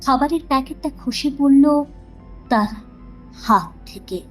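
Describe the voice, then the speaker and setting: A woman narrating a scripted story in a measured, expressive storytelling manner. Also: sits high, faint music behind.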